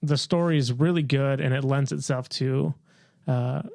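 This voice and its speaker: A man's voice: mid-range voice, steady tone, moderate pace, gravelly, darkish tone, dry recording, clean studio sound. A man talking casually with others as a podcast panelist. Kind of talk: casual group discussion.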